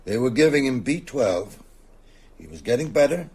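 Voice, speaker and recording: A man's voice: mid-range voice, sing-song, moderate pace, creaky, darkish tone, breathy edge, full character voice. An actor playing a sociable, shrewd older small-town man in an audio drama, conversational and probing. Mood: probing, uneasy. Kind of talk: naturalistic audio drama dialogue.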